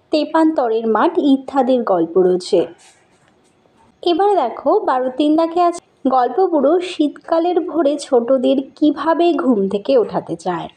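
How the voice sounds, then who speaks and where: High-pitched woman teaching a school lesson. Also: a little mellow. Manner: instructional, addresses pupils directly. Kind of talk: school lesson, reading out questions and answers.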